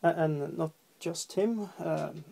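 Norwegian-accented man, slow and gravelly, halting hobby-tutorial narration at a desk. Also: on the high side, mellow, slight background noise. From Norway. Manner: hesitant, halting, many fillers, humming while working. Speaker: hobby painter. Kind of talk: casual painting tutorial. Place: at a desk indoors.

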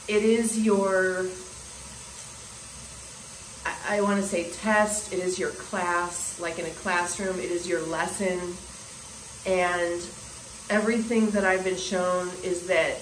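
A woman in her forties speaking earnestly to a phone camera in a small bathroom, musing with restarts while her throat sounds scratchy. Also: fairly low pitch. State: scratchy throat, clearing it often. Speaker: spiritual vlogger. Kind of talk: casual spiritual monologue to camera. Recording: roomy bathroom echo, faint hiss.

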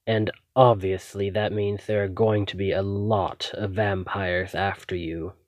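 A man playing an obsessive, possessive vampire in an ASMR roleplay, condescending, commanding and deliberate. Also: deep voice, level tone, no echo, studio quality. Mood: grave, explaining. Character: possessive, obsessive male vampire, smugly menacing. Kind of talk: ASMR roleplay, scripted acting.

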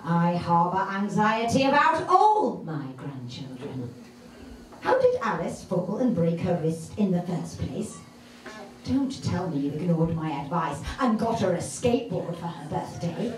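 A woman's voice: on the low side, sing-song, unhurried. A woman voicing an anxious, reproachful elderly English grandmother, fussy and long-winded, in a stand-up routine. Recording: very echoey noisy room, distant mic.

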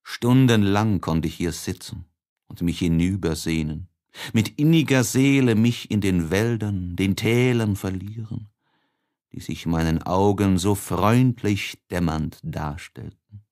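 A man reading a classic literary letter as an audiobook narrator, with a deliberate and expressive dramatic delivery. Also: deep voice.

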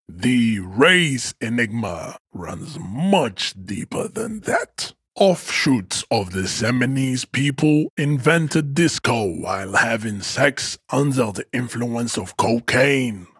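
A man's voice: mid-range voice, very animated, slow pace, few pauses, fairly bright tone, slightly rough, no echo, studio quality, full character voice. An actor playing a pompous, stoic strongman in a video game, declaiming haughty, grandiose speeches. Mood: haughty, declamatory. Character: pompous, stoic, hulking male race-theorist strongman. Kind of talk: video game character acting.

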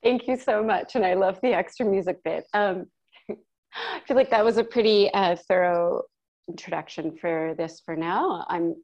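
A woman's voice: mid-range voice, normal speed, a little mellow. A woman radio journalist introducing herself on an online panel in a friendly, easygoing way. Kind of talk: casual self-introduction on a video panel. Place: indoor sunlit room on a video call. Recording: dull video call audio.

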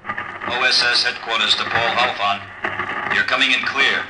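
A American military radio operator, mid-pitched and heard through a radio filter. He calls in messages in old-time radio drama style. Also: fairly even intonation, unhurried, over loud music, low-quality recording. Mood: businesslike. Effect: radio transmission filter. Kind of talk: 1950s radio drama acting.

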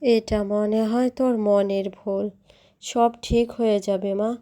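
A woman's voice: mid-range voice, fairly even intonation, a little slow, dark tone, lightly acted. A woman voicing a middle-aged village mother in a cartoon, caring but admonishing. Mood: dismissive, soothing.

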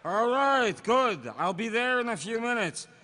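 A man puts on a sharp, lively, kvetching cartoon farm boy. The exaggerated comic read is recorded live with some background noise. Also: very high voice, measured pace, heavily acted. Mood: grumbling. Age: middle-aged. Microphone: table microphone. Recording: noisy live convention hall.

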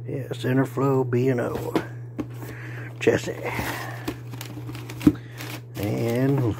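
A man, hoarse and losing his voice, chatting in a folksy, joking way while vlogging on a phone held at arm's length. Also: mid-range voice, flat delivery, extremely slow, very noisy. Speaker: railfan vlogger. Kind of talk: casual folksy vlog. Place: outdoors by a car, then indoors at home.

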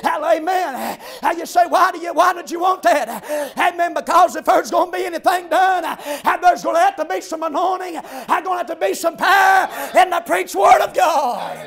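A man preaching a revival sermon in a church, shouting in a chanting cadence and punctuating every phrase with "amen" and a rhythmic "ah.". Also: very high-pitched, normal speed, creaky, few pauses.

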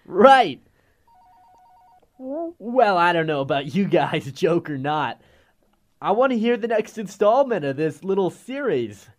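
A man's voice: high voice, big pitch swings, slow, long pauses, exaggerated voice. A male actor playing a casual, curious radio station crew member in an audio drama. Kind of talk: conversational audio drama acting.

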